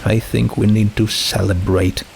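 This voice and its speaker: A male narrator plays a teenage girl who is sad underneath and casual on top. The delivery is slow and hesitant, lifting now and then, with light noise behind it. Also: lowish voice, flat delivery, creaky. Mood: forced cheer. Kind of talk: storybook character voice in fan fiction reading.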